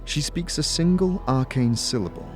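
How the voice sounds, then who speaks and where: A man reading dramatic second-person fantasy narration in a measured, deliberate way with emphatic phrasing. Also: fairly high pitch, expressive, faint music behind. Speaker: narrator and game master of an audio series.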